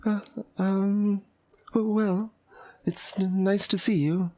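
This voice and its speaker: A woman's voice: medium pitch, steady tone, slow, warm tone, no room sound, natural voice. A woman voicing a shy, polite, bashful anime schoolgirl with a hesitant stammer, in a visual novel. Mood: flustered. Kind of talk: visual novel character voice-over.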